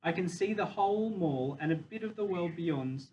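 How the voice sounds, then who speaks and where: A dignified, wistful captive silverback gorilla read by a man tells his story in a measured, deliberate way. The voice sits slightly high and comes from a little way off the mic, with faint noise behind it. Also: lively intonation, mellow, recorded from a distance, dull audio. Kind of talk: children's novel read aloud in first person.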